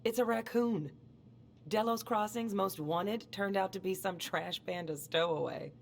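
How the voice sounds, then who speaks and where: A woman's voice: mid-range voice, unhurried, creaky, slight background noise. An actress playing a friendly, chatty small-town policewoman who talks in a colloquial, teasing way in a video game cutscene. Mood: amused. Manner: colloquial, joking. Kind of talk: naturalistic video game voice acting.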